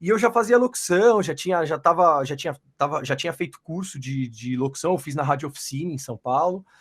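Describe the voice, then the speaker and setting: A man's voice: on the high side, expressive, fast, a bit bright, breathy edge. A Brazilian man, a professional voice-over artist, chatting casually about his experience and repeating words as he goes. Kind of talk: casual chat, telling his own experience.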